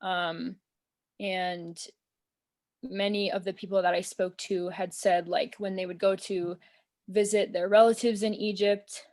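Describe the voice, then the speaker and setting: A young American woman on a video call, talking in a relaxed, earnest way and filling her speech with "um" and "like". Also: mid-range voice, a little slow. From United States. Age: young adult. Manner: earnest, thoughtful, many fillers like "um" and "like". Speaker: interview guest. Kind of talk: casual conversation. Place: small room at home, indoors.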